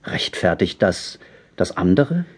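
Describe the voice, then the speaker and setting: An actor playing a principled, fearless civilian in a radio play, formal, firm and earnest. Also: male voice, low-pitched, sing-song, takes it slowly, slight background noise, full character voice. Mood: earnest, accusing. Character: tall, fearless, principled civilian confronting officers.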